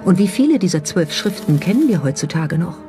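Documentary narrator, mid-pitched and relaxed, scripted delivery, light music bed. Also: a little fast. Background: background music.

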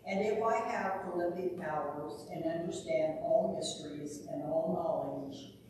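A man reading scripture aloud at a church service in a solemn, measured way with pauses, heard from a distance in the sanctuary. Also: a little high. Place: medium-sized church sanctuary, indoors. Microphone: distant from the camera. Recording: echoey church, distant mic, noisy.

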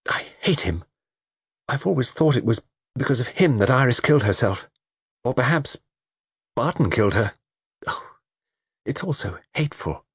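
A male audiobook reader voicing a frightened, impulsive young woman who speaks in breathless, emotional rushes. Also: medium pitch, lively intonation, husky, no room sound, studio quality, pitched above the performer's own voice. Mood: bitter. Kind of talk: audiobook character reading.

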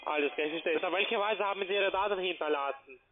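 A high-voiced man speaks with a foreign, non-native accent. He sells investments over a thin, tinny phone connection, stumbling politely through broken phrasing in a formal sales pitch. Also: fast. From Slovakia. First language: not German. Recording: narrow-band, tinny phone line.

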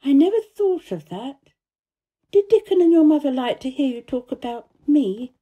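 A woman's voice: sits high, big pitch swings, moderate pace, phone-quality sound, pitched above the performer's own voice. A woman voicing a contrary, well-bred little girl who speaks in a precise, self-possessed way, in a storybook reading. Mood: thoughtful.

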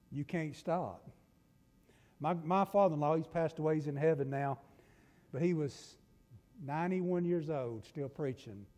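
Southern man preaching in a reverberant church. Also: on the high side. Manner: folksy storytelling preacher, swings from loud to hushed. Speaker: pastor. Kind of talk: sermon. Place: church sanctuary. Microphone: pulpit mic from a far camera. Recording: long church-hall reverb.

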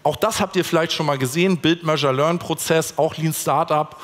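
Man in his thirties, conference speaker, conversational with fillers, slightly high voice, long hall reverb. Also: fairly quick. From Hamburg, Germany. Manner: conversational, frequent fillers and restarts; gestures while walking the stage. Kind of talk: conference keynote presentation. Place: conference stage in a large hall.

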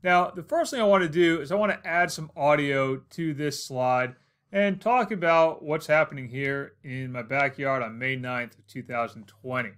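A man's voice: a little high, moderate pace, a bit bright, clear, resonant tone. An American man in his forties narrating a casual instructional screencast, explaining things step by step. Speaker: tutorial host.

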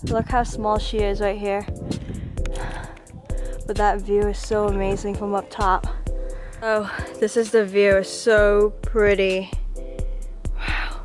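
A young woman vlogging outdoors while exploring and climbing, talking excitedly in slangy casual chat to a handheld camera at arm's length. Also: medium pitch, slow pace, pauses often, music in the background. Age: young adult. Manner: excitable, slangy, exclaiming often. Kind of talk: casual vlog narration. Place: outdoors on a hilltop abandoned tower.

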